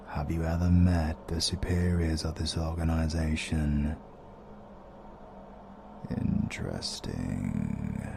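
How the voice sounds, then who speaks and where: A British man playing an ominous, courteous interdimensional being in an audio roleplay, speaking in a formal, measured, detached way. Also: low-pitched, noisy background, heavily acted.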